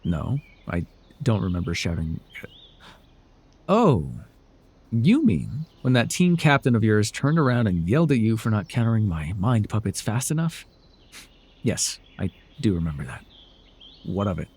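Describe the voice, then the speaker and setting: A man playing a proud, sardonic telepathic supervillain with hidden tenderness in an ASMR roleplay, theatrical and condescending with a husky voice. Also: lowish voice, very animated, unhurried, long pauses, a little mellow, heavily acted. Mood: defensive, evasive. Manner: theatrical, grandiose, flustered, dryly condescending.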